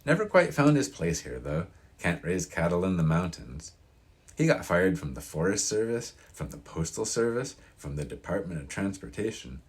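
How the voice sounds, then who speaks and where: A man's voice: deep voice, sing-song, normal speed. A man voicing an opinionated elderly small-town local in an audiobook, with a grating, folksy, emphatic delivery. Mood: wistful, chatty. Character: crusty old mountain-town man, proud, opinionated, wistful. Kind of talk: audiobook character reading.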